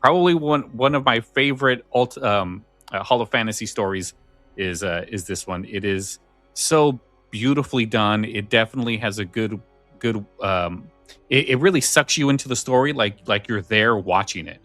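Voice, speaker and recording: A man's voice: on the low side, normal speed, a bit bright, no echo, faint music behind. A man co-hosting a podcast, talking casually about his favourite stories. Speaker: podcast co-host.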